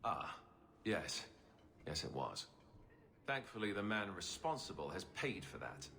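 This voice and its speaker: Informal, dryly humorous game king, fairly low voice, faint noise behind. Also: very animated, measured pace, creaky, sharp tone, heavily acted. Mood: earnest, regretful. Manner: wry, hesitant, informal. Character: affable, self-deprecating young king with dry humour. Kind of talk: video game cutscene acting.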